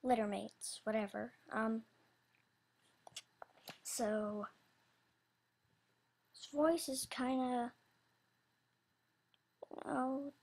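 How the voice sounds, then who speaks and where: Casting: female announcer for a casual audition call, husky and creaky, rambling, apologetic, unsure. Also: a little high, extremely slow, pauses often, darkish tone. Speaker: animator describing characters for voice auditions.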